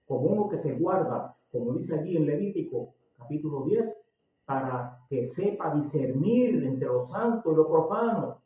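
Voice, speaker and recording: A man in his sixties from Puerto Rico with a Puerto Rican accent, preaching a sermon emphatically, getting loud and slowing down on key words. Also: fairly high pitch. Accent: Puerto Rican Spanish. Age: 60s. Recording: tinny, echoey, distant recording.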